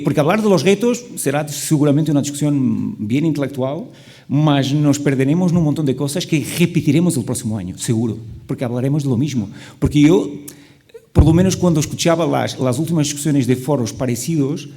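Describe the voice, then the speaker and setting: A Portuguese man speaking Spanish with a Portuguese accent as a panelist, halting with many restarts. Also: fairly high pitch, animated, warm tone. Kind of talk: panel discussion.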